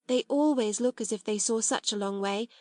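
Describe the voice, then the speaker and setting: A woman's voice: on the high side, moderate pace, a little mellow, no room sound, clean studio sound, lightly acted. A woman voicing a timid, admiring young schoolgirl who speaks hesitantly. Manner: hesitant, easily startled.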